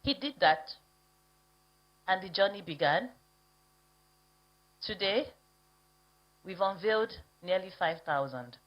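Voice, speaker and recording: A woman speaking as an event host, giving an emphatic, deliberate, celebratory stage introduction. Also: mid-range voice, darkish tone, dull audio.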